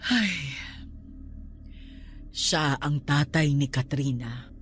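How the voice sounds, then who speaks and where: A woman's voice: low-pitched, extremely slow, faint music behind. A woman playing a caring, concerned aunt in a radio drama, coaxing and earnest. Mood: serious. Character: caring, worried aunt of a troubled young man.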